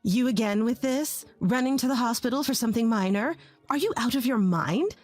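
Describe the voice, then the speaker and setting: Brief: woman doing a cruel mother-in-law, high and sneering, contemptuous, with faint music behind. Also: animated, fairly quick, a little mellow. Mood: scornful. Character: harsh scornful older mother-in-law.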